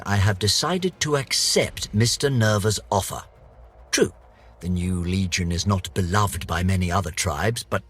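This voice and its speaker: An actor playing a pompous, eccentric British gentleman scientist, vain and eager, reading florid journal entries for a video game in a theatrical, precisely worded way. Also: lowish voice, breathy edge, exaggerated voice.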